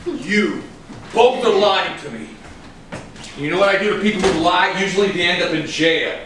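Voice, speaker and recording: A man's voice: sits high, moderate pace, natural voice. Man acting an accusing investigator, very echoey. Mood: accusing, forceful. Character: accusing, relentless police investigator, hard on suspects. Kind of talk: staged reading of a crime drama. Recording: distant, very echoey room, clear background noise.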